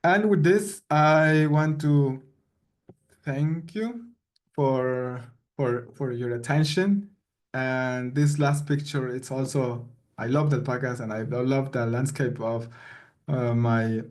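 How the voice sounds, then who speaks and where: A man from Peru closing an online presentation in a warm, halting way, often repeating words. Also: medium pitch. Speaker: intern presenter.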